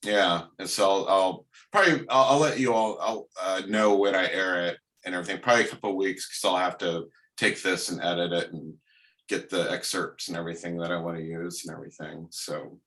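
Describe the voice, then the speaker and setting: A man's voice: low-pitched, fairly even intonation, fairly quick, fairly bright tone. A man hosting a radio interview, talking casually and rambling with many ums and uhs. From Oregon, USA. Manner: rambling, heavy use of uh and um.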